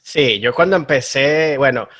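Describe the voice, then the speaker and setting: Relaxed Venezuelan man, middle pitch. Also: a little slow. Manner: relaxed, rambling storyteller. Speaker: stand-up comedian guest. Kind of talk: casual conversation.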